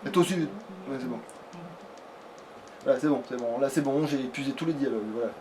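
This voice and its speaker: A man's voice: medium pitch, fairly quick. A man playing a game on a let's play, chatting casually and thinking aloud in sweary, colloquial speech, often grumbling to himself. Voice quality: foul-mouthed, colloquial speech. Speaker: let's play gamer at the controls. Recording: noisy home recording, clear background noise.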